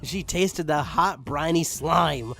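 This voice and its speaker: A man's voice: a little high, takes it slowly, gravelly. A man reading fan fiction aloud in a mock-dramatic style, breaking off for amused asides. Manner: animated, breaks off to comment. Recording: music under the voice. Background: background music.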